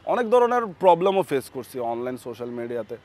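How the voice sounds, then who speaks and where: A man's voice: medium pitch, sing-song, fairly quick, a little mellow. A young man with a Chattogram accent, a comedy content creator answering casually as an interview guest. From Chattogram, Bangladesh.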